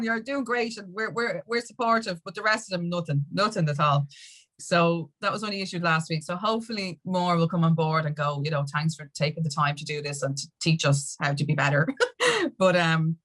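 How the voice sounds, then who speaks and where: An Irish woman talking as an interview guest in a rambling, halting, conversational way. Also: lowish voice, fairly bright tone. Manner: rambling, halting, with many "you know"s. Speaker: podcast guest, activist.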